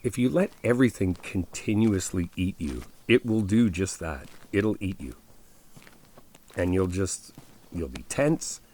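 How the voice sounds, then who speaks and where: A man's voice: deep voice, animated, a little slow, warm tone, slight background noise. A man playing a caring, insistent best friend in an ASMR roleplay, talking straight to the listener in a casual, coaxing, reassuring way. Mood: earnest, reassuring. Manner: conversational, coaxing, addressing the listener directly. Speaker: ASMR roleplay audio performer.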